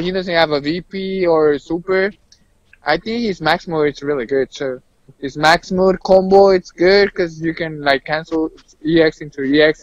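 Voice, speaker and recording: A man chatting casually as a guest player, giving game opinions in a halting way with repeated, restarted phrases, over lo-fi voice chat. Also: a little high, no echo. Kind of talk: casual unscripted game discussion.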